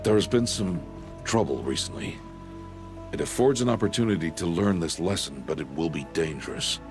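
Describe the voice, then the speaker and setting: Deep, grave outcast hunter. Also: male voice, expressive, over music. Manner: grave, measured, terse, formal. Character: stern, solemn older male outcast hunter and guardian. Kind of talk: video game cutscene acting.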